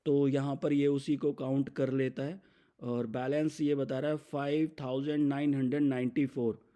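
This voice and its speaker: Indian man explaining software, mellow voice. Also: medium pitch, little pitch movement, moderate pace. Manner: mixes English business terms into speech. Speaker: software demonstrator. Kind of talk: instructional screen demo, explaining step by step.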